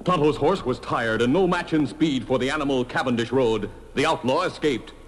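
A man's voice: medium pitch, normal speed, a little hiss or hum. A man narrating an old radio western in a declamatory, dramatic announcer's storytelling style.

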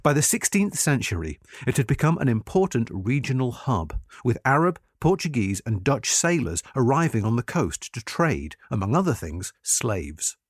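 A man's voice: medium pitch, sing-song, moderate pace, few pauses, slightly rough, no room sound, clean studio sound. A man reading a magazine article aloud as scripted, documentary-style narration.

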